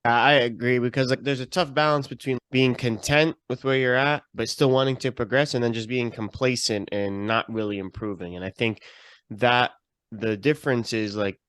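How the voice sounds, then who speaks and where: A man's voice: mid-range voice, fairly even intonation, normal speed, fairly bright tone, clean tone, dry recording. A young adult man hosting a podcast, talking and asking questions in a casual, conversational style. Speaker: podcast host.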